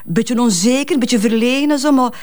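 A woman's voice: a little high, normal speed, a little mellow, natural voice. An actress playing a grieving, protective mother in a Flemish crime drama, speaking hesitant, colloquial West Flemish with repeated words. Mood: wistful, fond.

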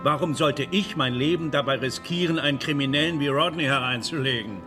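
An actor playing a dignified, disillusioned older man in a radio drama, formal and measured in his speech. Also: a little high, over music, natural voice. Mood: proud, weary. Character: dignified, skeptical, disillusioned old male actor.

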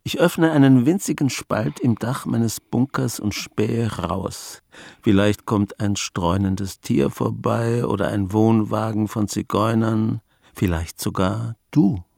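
Old grandfather, reclusive and brooding, gravelly fry, dry studio sound, hesitant, wry, radio drama. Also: on the low side, slow pace, darkish tone, no echo, full character voice. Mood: hesitant, searching. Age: 60s or older. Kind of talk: German radio drama acting, dialogue and inner monologue.

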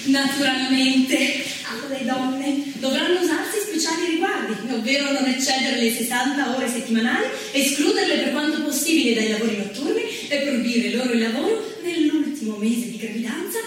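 A woman's voice: high-pitched, lively intonation, moderate pace. A woman playing a pompous, moralizing early-1900s conservative in a theatrical monologue, declaiming with disapproval. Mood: officious. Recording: roomy, echoing, distant mic, faint noise.

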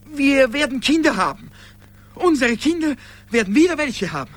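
An actor in a German radio play, playing a desperate, devoted everyman lover who pleads haltingly and repeats himself. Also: extremely high pitch, very animated, fairly bright tone, heavily acted. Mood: pleading.

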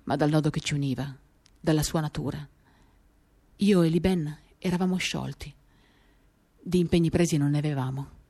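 A woman performing an expressive, theatrical first-person literary reading for radio, moving between animated and hushed delivery. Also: sits low, normal speed, long pauses, breathy edge. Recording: acoustic guitar accompaniment. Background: guitar music.

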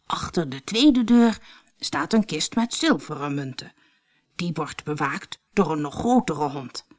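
A woman voicing an old witch in a storybook, coaxing and quick to anger. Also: deep voice, lively intonation, voice pushed down. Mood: coaxing, instructing. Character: old witch woman, coaxing, then furious when refused. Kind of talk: storybook character voice.

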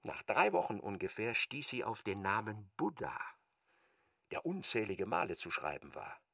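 Lebhaft modulierender Erzähler, leicht knarrend. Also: male voice, fairly low pitch, sing-song, normal speed, gravelly, a bit bright, no echo, clean studio sound, exaggerated voice. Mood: precise, wry. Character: precise, observant third-person male narrator of a novel. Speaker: narrator. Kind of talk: scripted literary narration for radio drama.

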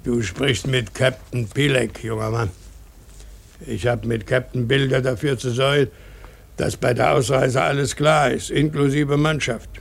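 An actor playing a blustering old whaling captain in a German radio play, gruff, bullying and mocking. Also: male voice, medium pitch, fairly even intonation, a little slow. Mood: gruff, instructing.

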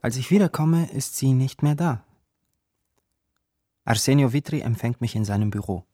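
An actor playing a troubled, self-loathing young man from a poor background in a German radio play, with hesitant, dramatic pauses. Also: mid-range voice, expressive, dark tone, lightly acted. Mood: bitter, ashamed. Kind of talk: German radio play acting with inner monologue.

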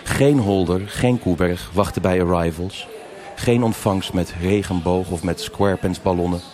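A man's voice: deep voice, normal speed, darkish tone, natural voice. A man playing the narrator of a live noir radio crime drama, with music behind him. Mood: measured storytelling. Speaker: narrator and investigating officer in a radio crime serial. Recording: live performance with music underneath.

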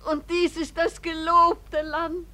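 A very old lady with a very high, bright voice. She muses slowly and dreamily to herself, contented, in a radio play. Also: level tone, full character voice. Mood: dreamy, contented. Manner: hushed, slow musing to herself. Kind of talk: radio play character speech.

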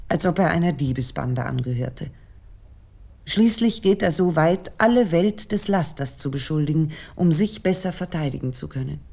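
Female essay narrator, lower register, very soft dark tone, measured and analytical delivery. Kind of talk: scripted literary lecture narration.

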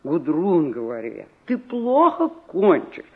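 Exasperated village aunt, animated delivery. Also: female voice, low-pitched, unhurried, breathy edge, full character voice. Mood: fretful. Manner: complaining, emphatic, rhetorical questions. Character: exasperated village aunt fretting over her stubborn niece. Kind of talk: 1960s radio play acting. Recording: dull vintage radio recording.

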